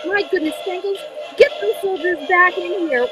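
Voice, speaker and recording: A woman's voice: very high voice, normal speed, music in the background. A woman playing a bustling Mrs. Santa. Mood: fussing. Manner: bustling, motherly scolding. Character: fussing older Mrs. Santa. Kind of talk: live reading of an old-time radio play.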